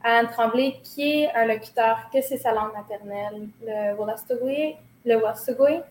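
A woman's voice: on the high side, normal speed, darkish tone. A woman in her thirties with a Québécois accent, speaking as an interview guest in a reflective, hesitant way with many fillers and restarts. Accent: Québécois French. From Quebec. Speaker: guest, choreographer. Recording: roomy recording, faint noise.

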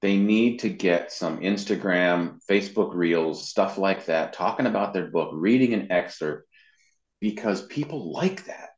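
Deep-voiced man explaining casually. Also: measured pace, echoey room, lo-fi sound. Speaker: interview guest, web marketing consultant and voice actor. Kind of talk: casual explanatory interview talk.

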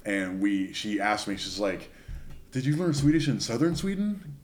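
A young man chatting casually as a podcast guest, telling stories in a relaxed, rambling way. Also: fairly low pitch, moderate pace. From Northern California. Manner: chatty storyteller. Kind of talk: casual chat.

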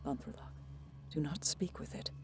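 An actress playing a solemn, cautious elf seer, hushed and foreboding, in an audio drama. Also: female voice, normal speed, music in the background, natural voice. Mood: grave, watchful.

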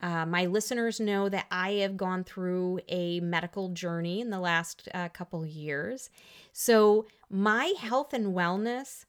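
A woman's voice: lowish voice, unhurried, clean tone. A woman hosting a podcast, talking in an earnest and reflective way. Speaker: podcast host. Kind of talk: casual interview talk.

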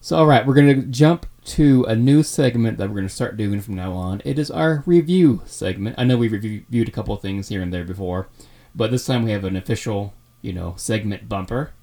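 A man's voice: lowish voice, a little fast, creaky, few pauses, warm tone. A man in his thirties co-hosting a podcast, chatting casually and rambling with fillers. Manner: rambling, with many fillers and restarts. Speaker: podcast co-host.

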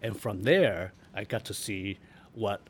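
A middle-aged man from Taiwan reminiscing casually in an interview, in a halting, self-correcting way. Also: fairly low pitch. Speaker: interview guest, architect. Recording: noticeable background noise.

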